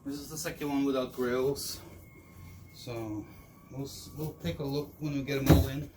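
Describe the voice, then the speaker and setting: A man's voice: mid-range voice, steady tone, normal speed, darkish tone. Distant, noisy man chatting. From Norway. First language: Norwegian. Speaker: vlogger showing used audio gear. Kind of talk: casual chat. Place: shop room by an open door. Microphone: distant camera. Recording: far from the mic, clearly noisy.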